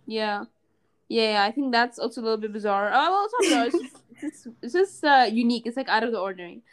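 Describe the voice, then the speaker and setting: A woman's voice: a little high, expressive, fast. A young woman co-hosting a podcast, chatting casually and hesitantly with many fillers. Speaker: podcast co-host. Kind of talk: casual chat.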